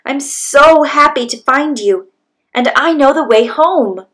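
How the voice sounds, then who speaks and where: A woman's voice: high voice, animated, slow pace, a bit bright, voice pushed up, exaggerated voice. A woman voicing a small, earnest, polite young male rabbit in a children's storybook. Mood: relieved, happy. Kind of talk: children's storybook read-aloud.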